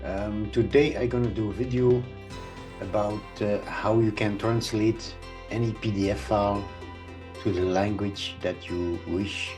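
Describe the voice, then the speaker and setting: A middle-aged man whose first language is Dutch, hesitantly walking through a screen-recorded software tutorial. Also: on the low side, fairly even intonation, a little slow, over music. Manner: hesitant, many ums and uhs. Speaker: tutorial presenter.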